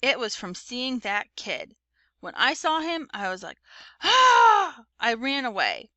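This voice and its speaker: Woman acting a whiny, excitable little boy. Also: fairly high pitch, measured pace, no echo, exaggerated voice. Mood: excited. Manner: whining, excitable, dramatic. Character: young whiny argumentative little boy, second grader.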